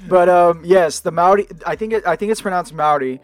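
A man's voice: sits high, a little fast, no room sound. Laid-back man chatting over beers. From Puerto Rican family. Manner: laid-back, laughs often, swears casually. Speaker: podcast co-host. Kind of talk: casual chat over beer.

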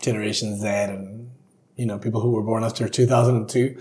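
A man's voice: fairly low pitch, level tone, a little fast, mellow. A young Peruvian man, a native Spanish speaker with a Peruvian accent, chatting informally as an interview guest. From Peru. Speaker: guest, drinks brand ambassador. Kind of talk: casual interview conversation. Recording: echoey room.

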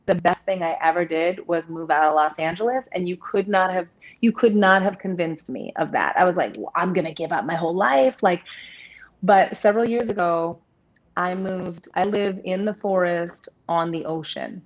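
A woman in her thirties with a deep voice, speaking openly and at length as an interview guest, with many restarts. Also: moderate pace. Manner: open, rambling, restarts often. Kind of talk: candid personal conversation. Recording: dull lo-fi audio.

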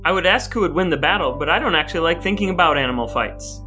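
A man's voice: on the high side, animated, fast talker, over music. A man hosting a podcast with his brother, speaking in wordy, mock-grandiose phrases that move between scripted lines and banter. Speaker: podcast host.

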